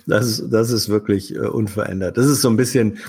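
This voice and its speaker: A man's voice: lowish voice, steady tone, a little fast, darkish tone, husky. A man chatting casually as a guest, hesitant, with many fillers and restarts. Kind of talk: casual chat.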